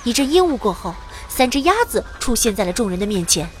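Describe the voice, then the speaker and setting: A woman's voice: mid-range voice, very animated, fast, music in the background, close to an everyday voice. A woman playing a perky, self-admiring young magician who theatrically narrates an adventure in a fantasy audio drama. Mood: playful, cheeky. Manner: theatrical, playful storytelling.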